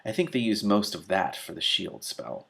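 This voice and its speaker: Guy doing a nitpicky magician from a storybook, testy lecture mode, husky. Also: low voice, sing-song, moderate pace, fairly bright tone, full character voice. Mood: testy, explaining. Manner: technical, precise, crisply argumentative. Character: pedantic, testy male magician explaining spell theory.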